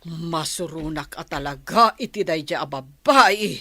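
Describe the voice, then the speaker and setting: A woman's voice: low-pitched, animated, a little slow, bright, forceful tone, no echo, full character voice. A woman with an Ilocano accent playing an irritable, scheming village wife in a comedy radio drama. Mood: irritated.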